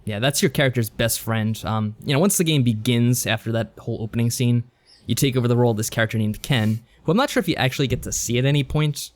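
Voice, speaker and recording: A man co-hosting a podcast, chatting casually about games in a measured, explanatory way. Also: medium pitch. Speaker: podcast co-host. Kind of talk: casual visual novel review chat.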